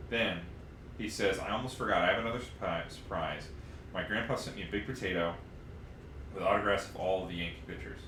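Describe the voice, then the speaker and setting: Low-voiced man in a roomy, noisy recording. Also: brisk, bright, forceful tone. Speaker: podcast co-host. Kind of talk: casual chat and reading story passages aloud. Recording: roomy, noisy livestream audio.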